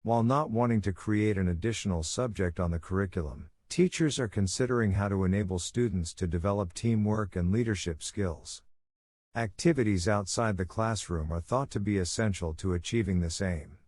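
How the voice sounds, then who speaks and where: A man reading scripted practice passages aloud in a measured, formal manner. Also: fairly low pitch, darkish tone. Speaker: narrator of exam practice passages. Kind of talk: scripted read-aloud narration.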